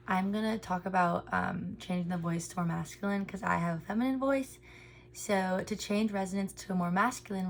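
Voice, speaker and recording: A young woman walks through a speech therapy lesson, earnest and informal, leaning on "um" as she goes. Her voice sits low and has a gravelly fry to it. There is a faint hiss of background noise. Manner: frequent ums, earnest explanatory delivery. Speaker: speech therapy student presenter. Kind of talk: informal instructional presentation.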